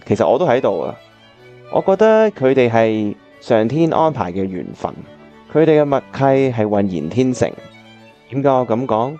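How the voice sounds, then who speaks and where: A man's voice: mid-range voice, mellow, highs cut off. A man playing an earnest, reflective bachelor in a Cantonese radio drama, with a Hong Kong accent. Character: earnest, reflective bachelor looking back on old love.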